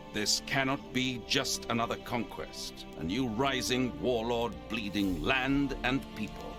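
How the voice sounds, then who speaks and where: Grave male commander, low, expressive intonation, measured, solemn game speech, music behind. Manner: measured, authoritative.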